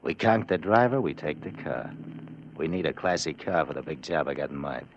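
Very deep and slangy, this is a cocky American small-time crime boss in a vintage radio play. He schemes in tough-guy talk, on a dull recording with noise behind him. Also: moderate pace. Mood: cocky, scheming. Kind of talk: old-time radio crime drama acting.

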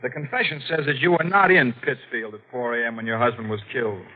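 An actor playing a stern, probing district attorney in a 1940s radio mystery, measured and grave. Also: male voice, medium pitch, fairly even intonation, husky, natural voice. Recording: dull old radio broadcast.

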